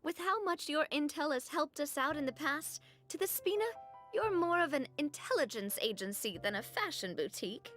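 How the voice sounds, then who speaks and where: A woman's voice: high voice, very animated, moderate pace, a bit bright. A woman playing a spirited, protective young female boss with an emphatic, theatrical delivery in an anime-style game. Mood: warm, teasing. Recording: clear music behind the voice. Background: music.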